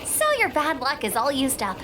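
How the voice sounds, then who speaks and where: Upbeat, animated young adventurer. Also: female voice, high-pitched, normal speed, background noise. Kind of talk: video game character acting. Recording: background music under the voice.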